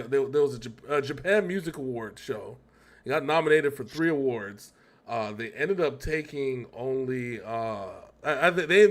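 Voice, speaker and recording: An American man hosting a reaction show in casual, slangy, rambling chat. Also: mid-range voice.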